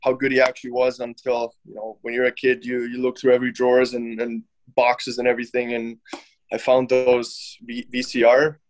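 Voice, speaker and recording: Male athlete guest: laid-back, halting, flat delivery. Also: mid-range voice, a little hiss or hum, rough recording. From born in Finland, German citizen. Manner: relaxed, hesitant, many fillers and restarts. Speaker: professional hockey player, interview guest. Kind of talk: casual interview answers.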